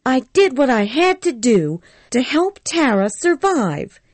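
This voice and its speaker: A woman's voice: high voice, big pitch swings, takes it slowly, darkish tone, dry recording, pitched above the performer's own voice, full character voice. A woman reading a story aloud, voicing a headstrong young Southern belle who frets and pleads.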